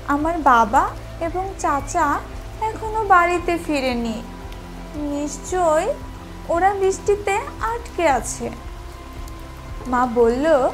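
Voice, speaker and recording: A woman narrating a children's story in an expressive, theatrical, sing-song way, taking her time. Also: extremely high pitch.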